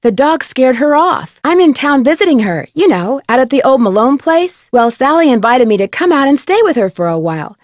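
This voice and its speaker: A young female sleuth in an adventure game. Her voice is fairly high and very animated, friendly and eager. The sound is clean and dry, with scripted, conversational delivery. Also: a little fast, few pauses, no echo. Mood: friendly, upbeat.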